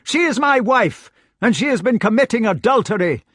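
A blustering, scornful male knight, put on by a male narrator, with a raised, forceful delivery. He is a jealous bully of a husband from a storybook, belligerent and quick to bark. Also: very high-pitched, lively intonation, dry recording, clean studio sound, pitched above the performer's own voice, exaggerated voice. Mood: enraged. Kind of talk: audiobook character voice.

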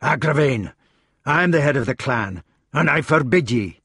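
A man's voice: mid-range voice, lively intonation, normal speed, fairly bright tone, slightly rough, no echo, studio quality. A man voicing a hot-tempered, towering Scottish clan head, a blustering and commanding knight in a storybook reading. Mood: commanding, stern.

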